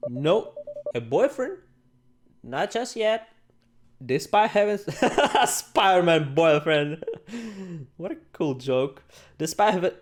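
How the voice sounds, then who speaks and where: A man hosting a let's play, reading game text aloud in a playful, theatrical way with joking asides. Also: a little high. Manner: playful, theatrical, exclaims and jokes to himself. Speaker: gaming video host. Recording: music behind the voice. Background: game music.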